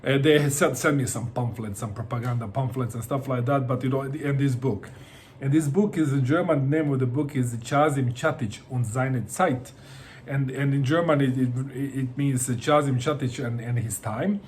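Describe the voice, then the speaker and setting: A man's voice: mid-range voice, normal speed, a little hiss or hum. A middle-aged man from Bosnia, talking casually to camera about books, hesitant, with many fillers and repeated words. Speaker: book vlogger.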